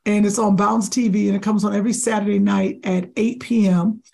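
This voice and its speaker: A woman comedian with a deep, raspy voice, chatting casually as a podcast guest, animated and rambling. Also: fairly quick.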